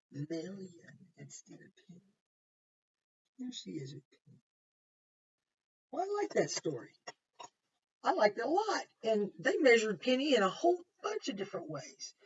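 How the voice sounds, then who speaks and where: A woman's voice: mid-range voice, very animated, moderate pace, pauses often, breathy edge. A woman kindergarten teacher reading a picture book aloud to young children, with simple explanations and chatty asides. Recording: muffled, distant microphone.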